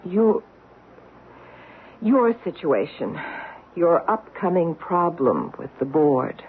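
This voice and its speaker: An American actress playing a wealthy, poised society lover in an old-time radio drama, speaking in a polished, coaxing, theatrical way. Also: female voice, fairly low pitch, big pitch swings, takes it slowly. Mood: measured, warning. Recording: muffled, noisy old radio broadcast.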